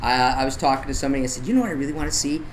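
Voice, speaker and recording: A man's voice: mid-range voice, expressive, very fast. Man chatting over background noise. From Canada. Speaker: guest, local artist and photographer. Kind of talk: casual interview chat.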